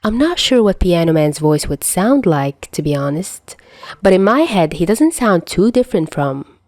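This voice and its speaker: A woman's voice: lowish voice, very animated, normal speed, darkish tone, no room sound, studio quality. A woman narrating fan fiction as an amateur audio story reader. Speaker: podfic narrator.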